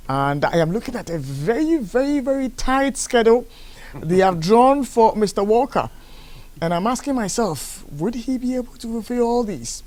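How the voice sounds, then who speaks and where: A man's voice: mid-range voice, darkish tone. A man hosting a live broadcast interview, speaking emphatically and lifting his voice on questions. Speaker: talk show host.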